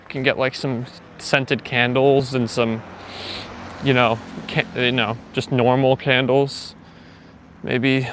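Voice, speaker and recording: A man hosting a live morning walk stream, chatting casually and rambling with lots of fillers as he answers his chat. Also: medium pitch. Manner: rambling, filler-heavy, reads chat aloud. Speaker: livestream host. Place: outdoors, walking.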